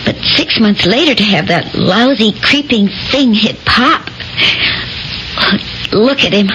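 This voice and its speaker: Casting: earnest, anxious young sister, highish voice, radio melodrama, heard through clear noise. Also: female voice, animated, takes it slowly. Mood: grieving, anxious. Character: affectionate, anxious young engaged woman, surgeon's kid sister. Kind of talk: old-time radio drama acting. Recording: dull, noisy radio drama recording.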